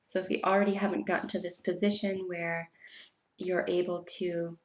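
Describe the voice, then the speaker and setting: A woman giving a weekly tarot reading in an unhurried, soft way, sounding stuffy from allergies. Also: fairly low pitch, level tone, reverberant, rough recording. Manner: soft, unhurried, spiritual invocations. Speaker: tarot reader.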